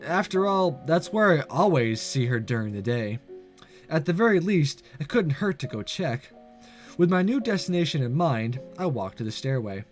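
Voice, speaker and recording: A man's voice: fairly high pitch, very animated, moderate pace, soft background music. A man reading aloud as an anxious teenage schoolboy narrating a spooky visual novel, wry and muttering to himself. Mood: reasoning.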